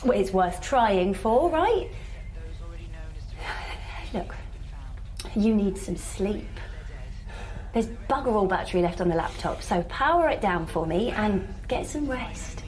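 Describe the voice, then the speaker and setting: An actress with a British accent playing an anxious, resourceful woman surviving an alien invasion, speaking in an urgent, halting, pragmatic way in an audio drama. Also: mid-range voice, expressive, darkish tone. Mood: strained, pleading. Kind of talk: naturalistic audio drama acting.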